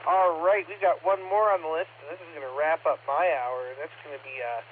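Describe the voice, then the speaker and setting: A man with a somewhat higher voice handles net control on a ham radio net. His talk is folksy and easygoing, sprinkled with fillers. The audio is narrow and phone-like, with a faint hiss. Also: fairly even intonation, a little fast. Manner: folksy, friendly, frequent "there" and "uh". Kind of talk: casual on-air net hosting. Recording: lo-fi radio transmission, faint static.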